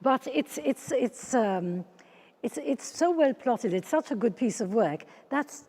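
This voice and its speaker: A woman's voice: a little high, animated, measured pace, warm tone, breathy edge. A woman interviewing writers, speaking hesitantly with many "um"s. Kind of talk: panel interview. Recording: long hall reverb.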